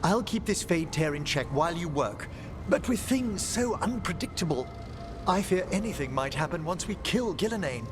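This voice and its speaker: An actor playing a courteous, cautious scholarly mage in a video game, formal and refined. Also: male voice, a little high, animated, moderate pace, music in the background, close to an everyday voice. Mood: polite worry. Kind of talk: video game drama acting.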